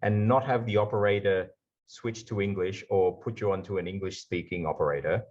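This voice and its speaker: A man with an Australian accent giving a lively conference talk over a video call. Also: sits low, brisk, muffled recording. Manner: lively explainer, slipping into put-on accents for examples. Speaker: guest speaker.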